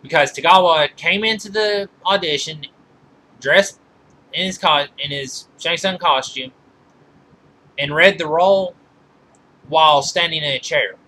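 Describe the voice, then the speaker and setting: A man giving informal film trivia commentary as a reviewer, halting and often correcting himself mid-sentence. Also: a little high, bright, forceful tone, slight background noise, rough recording. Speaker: movie reviewer.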